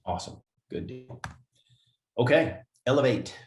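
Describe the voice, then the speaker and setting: A man's voice: mid-range voice, animated, a little slow, a little mellow, slight background noise. A man co-hosting a company livestream in a casual, upbeat way, reading names from the chat and laughing often. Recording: echoey room.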